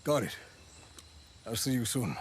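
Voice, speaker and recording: A man's voice: medium pitch, big pitch swings, unhurried, slight background noise. A man playing a weary, rugged action hero in a video game, with a terse, dry, understated delivery. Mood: weary, wry. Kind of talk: video game cutscene acting.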